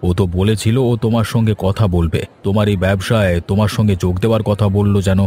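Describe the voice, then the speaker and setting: A man voicing a paternal village landlord in a fairy-tale cartoon, with a measured, patronly manner. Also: fairly low pitch, fairly even intonation, dark tone, slight background noise, close to an everyday voice. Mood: pleased, encouraging. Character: paternal village landlord, encouraging, quietly self-interested.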